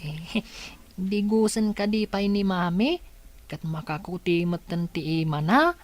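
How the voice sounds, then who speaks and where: A woman's voice: medium pitch, expressive, measured pace. A woman playing an innocent, curious youngster in an audio drama, asking questions in a hesitant way. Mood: inquisitive. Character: innocent, inquisitive young child asking her aunt questions. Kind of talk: Ilocano audio drama acting.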